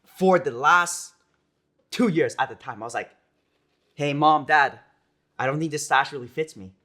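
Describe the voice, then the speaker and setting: Young man, American accent, bright clear tone, casual rambling chat, interview guest. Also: a little high, moderate pace. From United States. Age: young adult. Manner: relaxed, rambling, many "like"s and "you know"s. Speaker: esports player guest.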